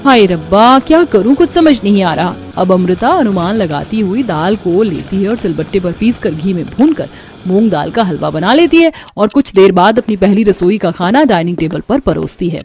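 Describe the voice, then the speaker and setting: A woman's voice: mid-range voice, animated, normal speed, darkish tone. A woman narrating a scripted cartoon story.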